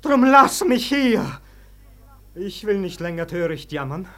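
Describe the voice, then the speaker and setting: A man's voice: high-pitched, sing-song, a little slow, sharp tone, heavily acted. An actor playing a desperate, impassioned young nobleman in a verse radio play, theatrical and emotional. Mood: desperate pleading. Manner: theatrical, emotional, swinging from cries to whispers. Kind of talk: declamatory verse radio drama acting.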